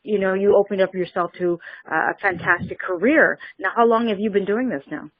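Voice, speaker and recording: A woman hosting a radio interview show who talks enthusiastically and warmly praises her guest. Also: medium pitch, a little fast, no room sound. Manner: enthusiastic, many fillers and restarts. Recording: tinny phone-line sound, dry.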